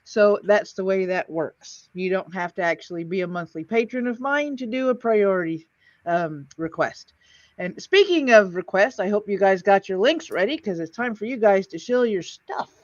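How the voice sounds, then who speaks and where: A woman's voice: medium pitch, animated, moderate pace, no echo, lo-fi sound. A woman casually hosting a livestream in a playful, chatty way. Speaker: host of an old-time radio heckling stream.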